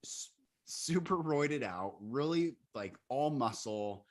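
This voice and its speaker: A man in his thirties co-hosting a casual live-stream conversation over a video call, upbeat and animated, laughing easily. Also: medium pitch, slow pace. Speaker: co-host and coach.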